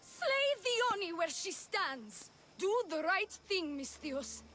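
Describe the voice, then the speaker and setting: A woman playing a proud, imperious high priestess of ancient Greece in a video game, with a formal, theatrical delivery. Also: very animated, takes it slowly, fairly bright tone, slight background noise, full character voice. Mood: desperate, commanding. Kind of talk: video game cutscene acting.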